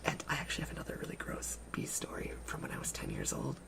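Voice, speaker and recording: A young woman co-hosting a podcast, telling stories in a soft ASMR whisper and rambling along. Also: fast, far from the mic. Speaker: podcast co-host.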